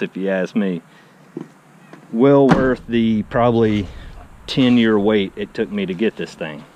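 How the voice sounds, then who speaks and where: A man's voice: fairly low pitch, darkish tone. A man, hosting a casual car vlog while walking around outdoors, chatty and enthusiastic, often saying "I mean". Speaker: car channel host. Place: outdoor driveway beside a garage. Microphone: handheld action camera. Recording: faint outdoor background noise. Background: faint outdoor ambience.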